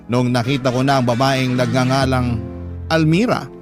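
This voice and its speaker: A man's voice: mid-range voice, steady tone, moderate pace. A man reading a first-person story as scripted radio drama narration. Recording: music playing behind. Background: background music.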